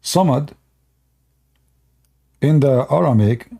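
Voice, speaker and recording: A man with an Arabic accent explains at length on a live stream, circling back and repeating his points. His voice is husky with a gravelly edge and a dark, mellow tone. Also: mid-range voice, expressive, slow pace. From Middle East. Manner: unscripted explaining, with fillers and repetition.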